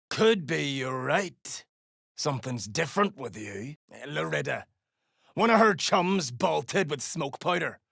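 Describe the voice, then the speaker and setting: An actress playing a foul-mouthed, menacing female duergar mercenary boss in a video game, with a gruff, growling, threatening delivery. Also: low-pitched, bright, forceful tone, no room sound, clean studio sound, exaggerated voice. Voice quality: gravelly, growling. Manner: gruff, crude, slangy, threatening. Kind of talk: video game character dialogue.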